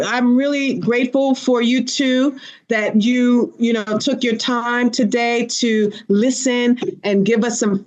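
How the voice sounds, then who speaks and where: A woman hosting a podcast panel in a casual, conversational style. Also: a little high. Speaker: podcast host.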